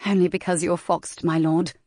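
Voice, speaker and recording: A woman's voice: fairly low pitch, little pitch movement, normal speed, darkish tone, no echo, clean studio sound, natural voice. A woman voicing a proper, indignant young Regency lady who speaks primly. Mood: flustered. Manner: prim and indignant.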